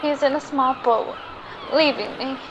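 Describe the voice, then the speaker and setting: A devoted young sweetheart, acted by a woman, very high in pitch and slow to speak. She is earnest and melodramatic, in radio-play style with faint noise behind. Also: lo-fi sound. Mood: pleading, distressed. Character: devoted, lovestruck young woman, gentle and pleading. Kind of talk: recreated old-time radio drama acting.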